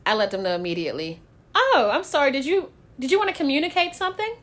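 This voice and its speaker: Woman, candid, swears freely, laughs often, fairly high voice, casual talk. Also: very animated, fast, a bit bright. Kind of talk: casual podcast chat.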